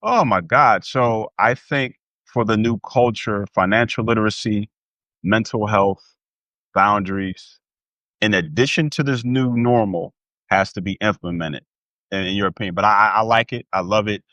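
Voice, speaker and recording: An American man with a low-pitched voice talks as an interview guest. He is conversational and emphatic, slipping into quoted speech to drive points home. The sound is close and dry. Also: normal speed, a bit bright, no echo, highs cut off. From United States. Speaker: interview guest, former pro athlete. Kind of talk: animated personal conversation.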